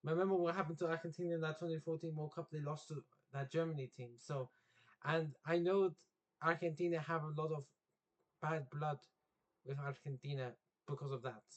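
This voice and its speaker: A young man from England chats about football straight to his viewers. His voice sits a little high, and he rambles, doubles back and corrects himself as he goes. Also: steady tone, fairly quick, dull audio. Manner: rambling, self-correcting, loses his train of thought. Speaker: football vlogger. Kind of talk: casual unscripted vlog.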